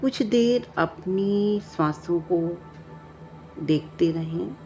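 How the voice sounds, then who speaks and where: A woman narrating a guided meditation, giving calm, measured instructions with long pauses. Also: on the low side. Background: background music.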